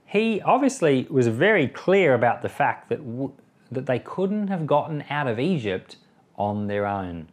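Conversational man giving Bible commentary. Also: medium pitch. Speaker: pastor and Bible podcast host. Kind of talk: informal Bible commentary and prayer.

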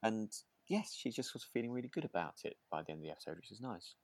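Deep-voiced man, hesitant casual chat. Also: lively intonation, fast, dry recording. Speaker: podcast co-host. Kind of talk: casual chat recapping a radio drama.

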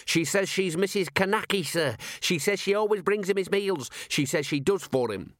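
A man's voice: sits high, moderate pace, fairly bright tone, no room sound, studio quality, voice pushed up. A man voicing an earnest, eager police constable in an audiobook, deferential, formal and pedantic.

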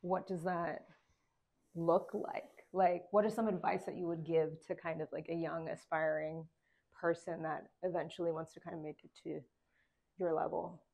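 A woman's voice: low voice, level tone, gravelly, darkish tone. A woman hosting a podcast, asking guests questions in a casual, friendly way. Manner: friendly, quick affirmations. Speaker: podcast host. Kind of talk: interview questions, casual chat.

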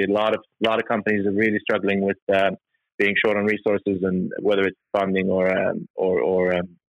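A man from Norway, a company chief executive, answering interview questions in a halting way, with many fillers and restarts. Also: lowish voice, fairly bright tone, no echo, muffled recording.

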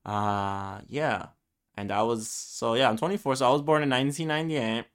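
A man's voice: medium pitch, moderate pace, smooth. A man in his twenties, a Spanish-speaking Brooklyn native, rambling alone on his podcast with many ums and restarts. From Brooklyn, New York. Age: 20s. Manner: rambling, self-deprecating, lots of ums and restarts. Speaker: podcast host. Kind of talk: solo casual podcast monologue.

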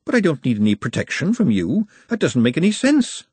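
A man voicing an indignant, forthright young woman with a forceful, demanding manner in an audiobook. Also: on the high side, huge pitch swings, fairly quick, breathy edge, dry recording, voice pushed up, heavily acted. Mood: indignant, urgent.

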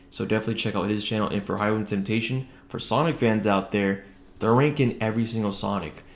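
A man with a fairly low voice hosts a casual solo sports podcast. He talks conversationally, holding his volume down as if others are asleep nearby. The home recording has a clearly echoey, roomy sound. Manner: conversational, keeping voice low late at night. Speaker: podcast host. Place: indoors at home, echoey room.

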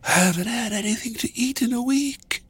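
Man putting on a faint, high, hopeless woman. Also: normal speed, a bit bright, exaggerated voice. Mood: weak despair. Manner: faint, gasping, high and breathy. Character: weak, starving, hopeless woman trapped inside a giant.

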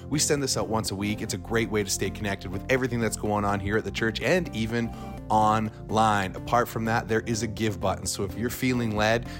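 A man hosting a church podcast, giving a friendly, upbeat scripted welcome. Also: fairly low pitch. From Canada. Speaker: podcast host. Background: guitar music.

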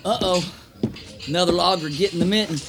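An actor playing a wry, folksy 1890s logger with rustic, joking speech in a story drama. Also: male voice, high-pitched, a little fast, vocal fry, heavy background noise.

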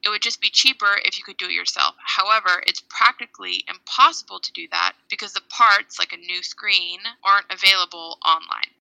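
A young woman from Hawaii co-hosting a podcast, clearly explaining things step by step. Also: mid-range voice, fairly even intonation, fairly quick, few pauses, pushed and bright, dry recording, poor audio. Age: young adult. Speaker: podcast co-host.

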